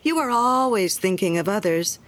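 A woman's voice: mid-range voice, animated, moderate pace, lightly acted. A woman doing a gushing, drowsy miller's wife. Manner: gushing, admiring.

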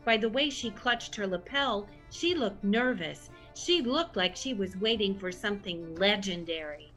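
Female narrator, played by a woman for comedy radio drama: composed, wry, mid-range voice, soft music behind. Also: medium pitch, animated, unhurried, natural voice, low-quality recording. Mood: wry, scene-setting. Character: composed storyteller setting a wry, old-time radio scene. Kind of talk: scripted storybook-like narration of an audio comedy. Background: faint music.

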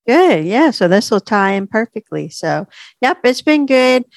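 A woman's voice: on the high side, no room sound, clean studio sound. A woman co-hosting a podcast as a dietitian, talking casually and a little stuffy. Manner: relaxed, chatty, drawn-out fillers. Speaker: podcast co-host, registered dietitian. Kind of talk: casual chat.

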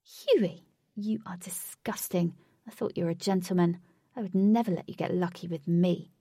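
A woman's voice: lowish voice, fairly even intonation, normal speed, mellow, breathy edge, dry recording, studio quality, far from the mic. A woman voicing an anxious, stubborn young woman in a storybook, with an urgent, exclaiming manner. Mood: indignant.

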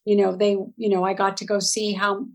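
A woman's voice: medium pitch, little pitch movement, fairly quick. A middle-aged woman from Southern California, a guest telling her family story in a chatty interview, laughing easily and restarting her sentences.

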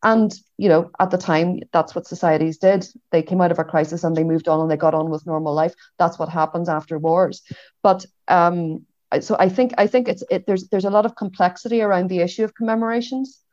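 A woman with a Derry, Northern Irish accent speaking as a historian on a panel, in a reflective, measured and hesitant way. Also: lowish voice, fairly even intonation, darkish tone, no room sound. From Derry, Northern Ireland. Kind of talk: panel discussion. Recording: dull, lo-fi online audio.

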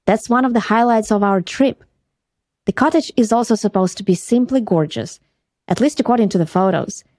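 A woman's voice: mid-range voice, fairly quick, darkish tone, no room sound, studio quality. A woman from Ukraine with a Ukrainian accent, hosting a podcast and earnestly telling a first-person travel story. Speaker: podcast host.

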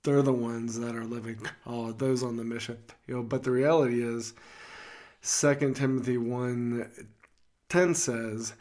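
A man with a gruff voice co-hosting a faith podcast in an easygoing, joking chat full of fillers. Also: mid-range voice, steady tone, unhurried, darkish tone. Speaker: podcast co-host.

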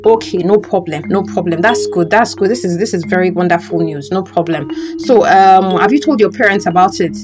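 A woman's voice: on the low side, brisk, few pauses, music in the background, natural voice. A woman playing a kind, reassuring older female friend in an audio drama, with a Nigerian accent. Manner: reassuring, repetitive.

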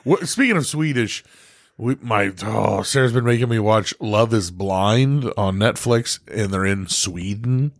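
A man co-hosting a casual podcast chat, profane and quick to laugh. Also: fairly low pitch, no echo. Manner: animated, profane, laughs often. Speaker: podcast co-host.

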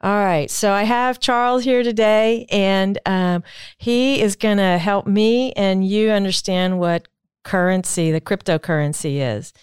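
A woman hosting an interview podcast in a studio, chatty and asking quick follow-up questions. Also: medium pitch, clear, resonant tone, no echo. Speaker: podcast host.

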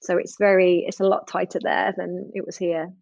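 An Englishwoman in her thirties, a parenting counsellor, chatting in an interview, warm and full of restarts. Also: fairly low pitch, level tone, moderate pace, creaky, no echo, muffled recording. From England. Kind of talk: casual interview chat.

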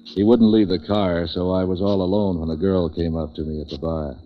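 An American man playing a cynical, street-wise waterfront hustler in deadpan 1940s radio noir style. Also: deep voice, a little fast, soft background music, lightly acted. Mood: dry, matter-of-fact. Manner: hard-boiled, deadpan wisecracking. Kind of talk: 1940s radio noir acting, first-person narration. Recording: dull, lo-fi 1940s radio recording.

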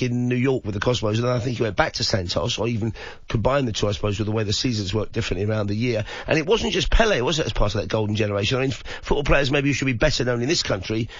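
A man hosting a late-night radio talk show, croaky from a cold, chatting and putting questions to his guest. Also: mid-range voice, dry recording, muffled recording. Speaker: radio host.

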